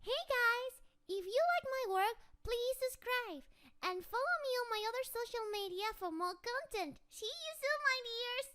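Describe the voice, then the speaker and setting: A woman's voice: very high-pitched, lively intonation, moderate pace, heavy background noise. A woman speaking to her listeners as an ASMR performer, in the style of a channel sign-off.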